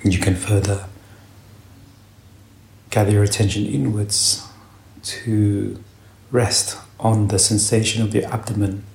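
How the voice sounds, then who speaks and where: A man guides a meditation in a low voice with a creaky, gravelly edge. He speaks gently in short, unhurried phrases with long pauses between them. The sound is roomy, with some echo around his voice. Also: flat delivery. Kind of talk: guided meditation, calm spoken instruction. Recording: roomy echo, faint background noise.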